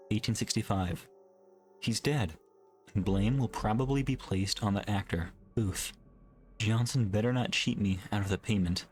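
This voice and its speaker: A man reading a scripted horror story as a narrator, with measured, deliberate delivery. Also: on the low side. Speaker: creepypasta narrator. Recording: music bed under the voice. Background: background music.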